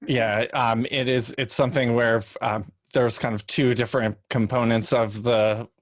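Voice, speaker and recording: A man on a panel giving casual, measured explanations with careful qualifications. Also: medium pitch, level tone, no echo. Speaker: podcast panelist.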